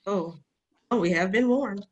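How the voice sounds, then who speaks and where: A woman's voice: medium pitch, unhurried, a little mellow, highs cut off, close to an everyday voice. A woman at a table read voicing a plucky, cheeky young girl who is tougher than she looks. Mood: wary, curious. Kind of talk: screenplay table read acting.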